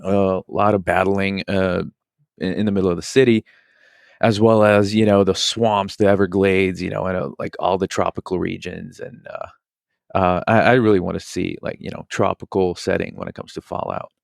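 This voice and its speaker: Low, gravelly man, flat and halting. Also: no room sound, clean studio sound. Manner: halting, loose, filler-heavy. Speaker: panel guest on a gaming podcast. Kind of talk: casual chat.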